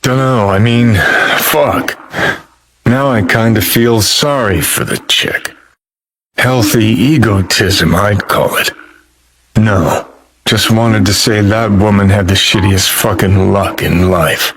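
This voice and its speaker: A man's voice: lowish voice, slow, a bit bright. An American man playing a cynical, world-weary rebel rock star in a video game, with a sardonic, clipped drawl and plenty of swearing. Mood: gruff, wry. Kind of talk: video game character dialogue.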